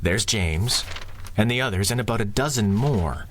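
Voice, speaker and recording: An actor playing a fair-minded, earnest police detective, plain-spoken and a little awkward, in a 1930s-style radio drama. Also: male voice, on the low side, moderate pace, close to an everyday voice.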